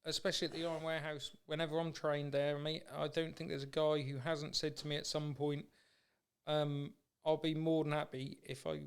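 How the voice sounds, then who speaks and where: A man's voice: on the high side, little pitch movement, a little fast, smooth. A man hosting a podcast in casual chat, with an informal, matey way of talking. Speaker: podcast host.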